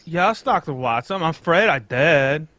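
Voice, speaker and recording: A man's voice: fairly high pitch, lively intonation, measured pace, pushed and bright, dry recording. A man playing an anxious, pompous foreign king in a radio mystery, earnest, in stilted English that drops its articles. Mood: grave, desperate. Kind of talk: old-time radio mystery acting, read live.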